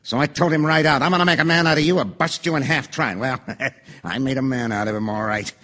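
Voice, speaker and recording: A man's voice: mid-range voice, steady tone, fast, sharp tone. A man playing a bitter, pushy middle-aged father serving on a jury, in an audio theatre drama.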